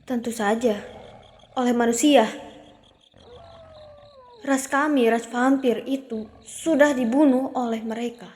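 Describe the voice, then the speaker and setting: A woman voicing a grieving young female vampire in an ASMR roleplay, who talks softly and hesitantly and stammers when flustered. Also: a little high, fairly even intonation, a little slow, pauses often, natural voice. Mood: melancholy, bitter.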